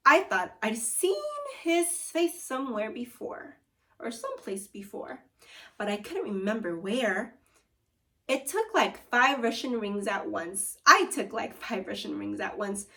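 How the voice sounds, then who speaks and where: Woman, primary school teacher, high-ish voice, expressive read-aloud, deliberate phrasing, slightly away from the mic. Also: recorded from a distance. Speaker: second grade teacher. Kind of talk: children's storybook read-aloud and lesson.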